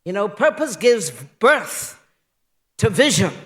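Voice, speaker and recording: A man giving an award acceptance speech in a slow, emphatic, rousing way. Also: high-pitched, very animated, a bit bright. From Bahamas. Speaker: award recipient, media entrepreneur.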